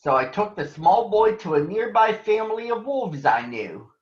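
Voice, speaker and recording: A man voicing a protective panther who narrates a storybook jungle tale in a measured, earnest way. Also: high voice, big pitch swings, voice pushed up. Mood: earnest storytelling. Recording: roomy echo, dull lo-fi sound.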